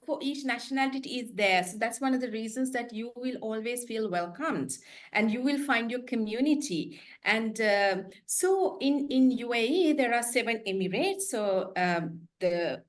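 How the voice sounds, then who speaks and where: A woman answering as a webinar panelist over a video call, earnest and detailed, often correcting herself mid-sentence. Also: on the high side.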